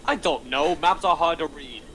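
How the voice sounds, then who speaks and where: A man's voice: fairly high pitch, moderate pace, a bit bright, a little hiss or hum, lightly acted, poor audio. A man reading the part of a hapless, defensive criminal twin in a screenplay table read. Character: hapless male twin criminal, excuse-making navigator.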